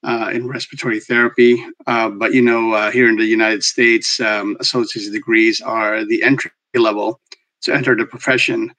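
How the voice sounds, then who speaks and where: A man from the Philippines with a Filipino accent, answering interview questions as a guest educator in a measured, explanatory way. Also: fairly low pitch, level tone, bright, forceful tone. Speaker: guest, respiratory therapy educator.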